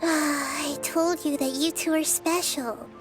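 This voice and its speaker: Small child goddess, game character, high-pitched, soft, gentle, calm, knowing, music behind. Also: female voice, slow. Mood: patient, chiding. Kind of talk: video game voice acting.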